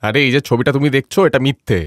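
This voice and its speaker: A man voicing an earnest young husband in a cartoon family story, speaking with animated emphasis. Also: mid-range voice, fast talker, no echo, studio quality, natural voice. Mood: stern. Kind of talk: Bengali cartoon story voice acting.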